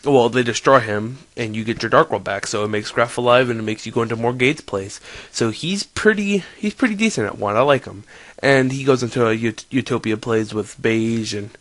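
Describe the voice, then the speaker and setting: A man's voice: mid-range voice, a little fast, fairly bright tone, no room sound. A young man with a stuffy nose from a cold, casually explaining his card game deck in a rambling, self-correcting way.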